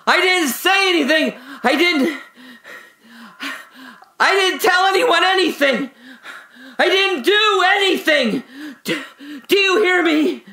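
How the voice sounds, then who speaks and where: An actor playing a terrified, confused man trapped alone in darkness, talking to himself in breathy fragments in an audio drama. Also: extremely high pitch, expressive, takes it slowly, long pauses, bright, forceful tone, heavily acted. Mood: screaming, desperate panic.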